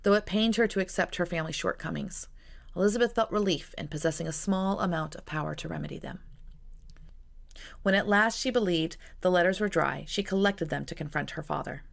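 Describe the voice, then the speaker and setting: Low-pitched, creaky woman storyteller. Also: fairly quick. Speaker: author reading own novel aloud. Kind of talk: expressive scripted audiobook narration.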